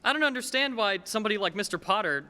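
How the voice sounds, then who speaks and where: A man's voice: sits high, lively intonation, quick pace, pushed and bright, smooth, exaggerated voice. A man playing an eager, affectionate young son full of wanderlust in a stage radio play. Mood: indignant. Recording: reverberant hall stage recording.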